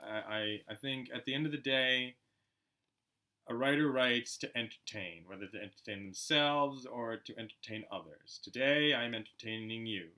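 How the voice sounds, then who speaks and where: A man reading aloud as an amateur narrator, expressive and storybook-like, with a chatty side. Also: on the low side. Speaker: amateur audiobook reader.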